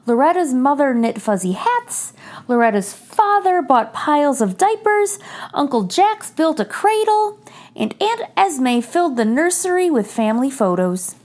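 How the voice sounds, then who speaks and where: A woman hosting a read-aloud for young children, telling a picture book in an expressive storytelling style with deliberate phrasing. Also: high voice, slight background noise. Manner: addresses young listeners directly, clear deliberate phrasing.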